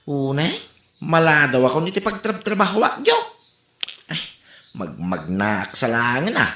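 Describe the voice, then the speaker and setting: An actor with an Ilocano accent playing a hurried, grumbling office worker in a radio comedy drama. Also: mid-range voice, fairly bright tone, close to an everyday voice. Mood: hurried, anxious. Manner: grumbling, exclamatory. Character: exasperated, hurried office worker pestered by neighbours. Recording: echoey sound with music bed.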